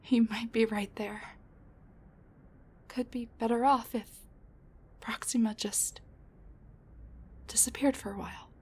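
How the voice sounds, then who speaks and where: A woman playing a sassy, rambling wounded supervillain with a soft side, talking intimately to the listener in a roleplay audio drama, with breathy asides and sighs. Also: a little high, fairly even intonation, takes it slowly, long pauses. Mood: weary, wry.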